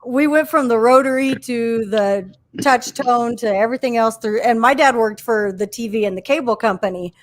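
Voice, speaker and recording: A woman's voice: a little high, normal speed, hardly any pauses. A woman chatting casually on an online show, telling stories and acting out what people said. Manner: chatty storyteller, acts out quotes. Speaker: co-host. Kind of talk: casual chat.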